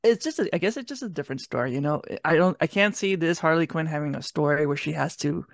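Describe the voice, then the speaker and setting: A man's voice: a little high, expressive, fast, creaky, no echo, clean studio sound. A man chatting casually and wryly as a podcast co-host reviewing a show.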